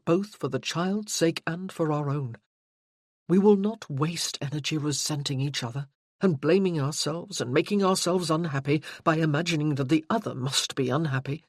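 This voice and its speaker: An audiobook narrator voicing a confident gentleman suitor who talks in a playful, teasing way, close to the narrator's own voice. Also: mid-range voice, husky, dry recording, natural voice. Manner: playful, teasing, then earnest.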